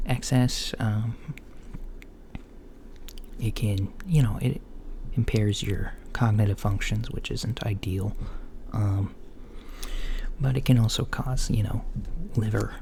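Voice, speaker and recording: Soft-spoken, creaky ASMR man, often whispering. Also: on the low side, fairly even intonation. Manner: hesitant, murmuring, reassuring, with long pauses. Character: calm reassuring male alien doctor at a checkup. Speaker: ASMR roleplayer. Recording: lo-fi with faint background noise.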